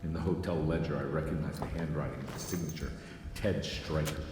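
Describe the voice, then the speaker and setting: An actor playing a brooding, guilt-ridden, world-weary private detective in a video game, speaking in a halting noir manner. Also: male voice, rumbling low, fairly even intonation, noisy background. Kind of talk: noir narration and dramatic game dialogue.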